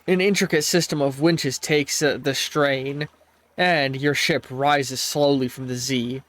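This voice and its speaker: A man's voice: medium pitch, fairly even intonation, unhurried, a bit bright. A man with a stuffy nose streaming a game, reading its text aloud and stumbling over words, with bursts of playful trash talk. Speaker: let's play streamer. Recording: faint game music behind the voice.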